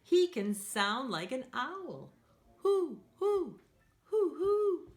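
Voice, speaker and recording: Very animated, slow-paced storytime woman. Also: high voice. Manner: animated, encouraging, asks listeners to join in; playful, acts out sound effects. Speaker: children's storytime host. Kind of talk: read-aloud storytime for young children.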